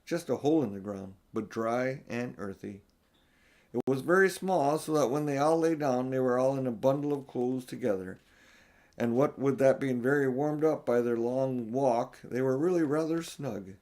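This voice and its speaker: An older man narrating a children's storybook as an audiobook reader, with expressive delivery. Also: medium pitch, normal speed.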